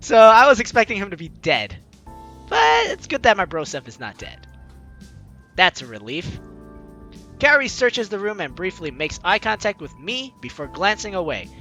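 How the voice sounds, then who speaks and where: Playthrough host: bright, forceful voice, very lively pitch, expressive reading mixed with off-the-cuff reactions, faint music behind. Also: measured pace, pauses often. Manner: exclaims and voices dialogue expressively. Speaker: gaming video host reading and reacting to a visual novel. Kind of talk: playthrough narration with animated ad-libbed reactions.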